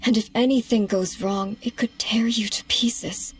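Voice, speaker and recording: Composed, solemn moon goddess. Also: female voice, a little high, fairly even intonation, husky. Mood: grave, cautioning. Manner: measured, grave, formal. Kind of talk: anime game cutscene acting.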